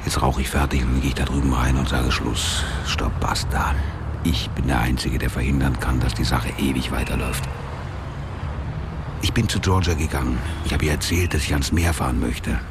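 An extremely low male voice gives us a crude ex-convict in a radio drama. He narrates in a blunt, colloquial way, world-weary and coarse. A faint layer of ambient noise lies behind him. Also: little pitch movement, moderate pace. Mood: weary, resolute. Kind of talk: colloquial first-person radio drama monologue.

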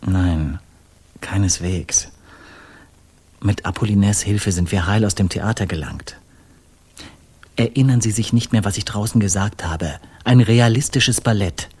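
Husky, dramatic Parisian poet. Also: male voice, fairly low pitch, measured pace, long pauses, darkish tone. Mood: relishing, dramatic. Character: animated male Parisian avant-garde poet, indignant and dramatic. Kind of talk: German radio drama acting.

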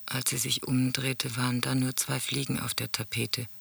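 An actor playing a sombre teller in a radio drama, reciting grim memories and letters in a solemn, measured, husky voice. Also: male voice, medium pitch, flat delivery, pushed and bright, full character voice.

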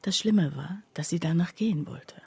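An actress playing a skeptical woman confiding about her friend in a radio play, her voice husky and breathy and her delivery hushed. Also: on the low side, normal speed, dry recording, full character voice. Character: skeptical female friend recounting her friend's odd behaviour. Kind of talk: literary radio play narration.

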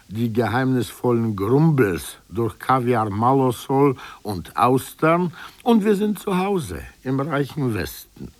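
A man's voice: mid-range voice, dry recording. A man reading a prepared literary commentary aloud in an emphatic, rhetorical way. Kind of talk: prepared essay read aloud.

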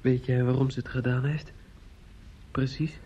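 A man acting in a Dutch radio play as a grieving, bitter young medical student who drinks, speaking in halting, repetitive rambles. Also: mid-range voice, flat delivery, mellow, slight background noise, close to an everyday voice. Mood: bitter, tipsy. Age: young adult. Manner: halting, rambling, repeats himself. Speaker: radio drama actor. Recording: muffled old radio play recording.

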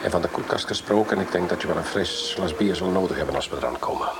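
A man's voice: low-pitched, fast, very noisy, lightly acted. An actor in a radio play voicing a pushy, eccentric, overfamiliar man who is bossy and drifts into dreamy musing. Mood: brisk, overfamiliar.

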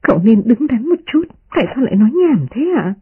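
A gentle, dutiful young servant girl, voiced by a woman reader, coaxes softly in a raised, polite voice. It is husky and heard through a thin, muffled radio broadcast. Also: fairly high pitch, very animated, fast, voice pushed up, heavily acted. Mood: pleading.

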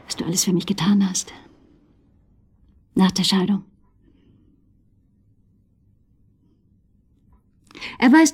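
An actress playing a fragile, pleading woman in a German radio drama, confiding softly and intimately in a half-whisper. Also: mid-range voice. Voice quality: breathy, half-whispered, soft.

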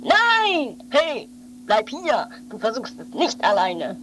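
A woman's voice: fairly high pitch, wildly swooping pitch, takes it slowly, a bit bright, a little hiss or hum, exaggerated voice. A woman playing a weary, bossy but protective guardian in an improvised radio play, grumpy and dismissive. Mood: stern.

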